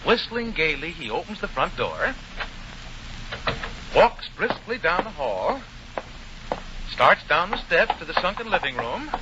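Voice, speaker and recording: High-voiced man narrating vintage radio comedy. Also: big pitch swings, measured pace, long pauses, background noise. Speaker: radio play announcer and narrator. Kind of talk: 1940s radio scripted narration. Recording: muffled 1940 radio broadcast. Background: music.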